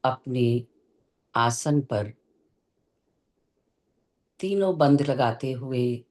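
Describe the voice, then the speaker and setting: A meditation teacher guides a session in a calm, gentle way. The pace is very slow and deliberate, with short phrases set apart by long pauses. The pitch sits in a middle range.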